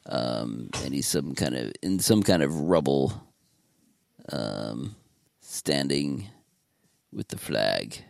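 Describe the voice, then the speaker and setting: A man's voice: very deep voice, lively intonation, slow pace, vocal fry, pauses often, a little mellow, no room sound, clean studio sound. A man hosting a podcast in casual chat, talking in a laid-back, meandering way. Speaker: podcast host.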